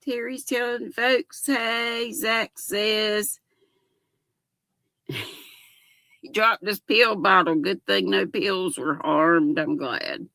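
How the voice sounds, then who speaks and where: A woman's voice: mid-range voice, steady tone, extremely slow, vocal fry, pauses often, bright, forceful tone, no echo. An older woman hosting a casual livestream, chatting folksily and giggling as she reads viewer comments aloud. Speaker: livestream host.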